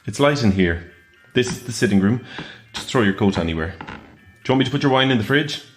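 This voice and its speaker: A man's voice: medium pitch, lively intonation, brisk, faint music behind, lightly acted. An actor playing an eccentric male party host in an audio drama, theatrical, mischievous and bossy. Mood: teasing.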